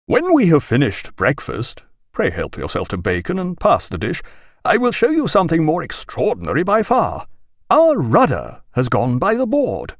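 Male narrator as hearty sea captain, cheerful, nonchalant, swooping pitch, dry sound, audiobook character. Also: wildly swooping pitch, moderate pace, dry recording, exaggerated voice.

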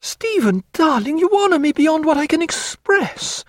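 A man's voice: very high voice, sing-song, moderate pace, no room sound, pitched above the performer's own voice. Man voicing a fiery, proud young woman. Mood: tender. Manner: fiery, theatrical, shifting from bitter to tender. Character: spirited, proud young woman in a kept life. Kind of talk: audiobook character reading.

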